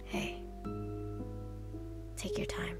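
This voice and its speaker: A woman playing a guarded, cynical teenage girl in a visual novel, with a quiet, dry, understated delivery. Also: very slow, in a whisper. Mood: quietly sympathetic. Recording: loud music behind the voice.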